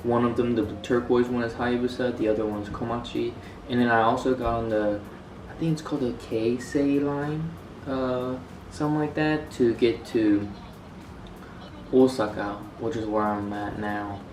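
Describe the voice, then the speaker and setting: A man's voice: mid-range voice, fairly even intonation, normal speed, background noise. Rambling young man, echoey room. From United States. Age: young adult. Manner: rambling, many fillers and restarts. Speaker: student vlogger. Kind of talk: casual vlog talk. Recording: echoey room, mic a bit far.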